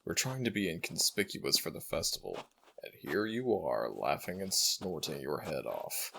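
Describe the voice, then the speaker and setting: A man voicing an ancient jackal god in an audio roleplay, regal and fondly exasperated, with formal, measured speech and a deep, gravelly voice. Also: big pitch swings, bright, forceful tone, slight background noise, full character voice, poor audio. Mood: flustered, indignant.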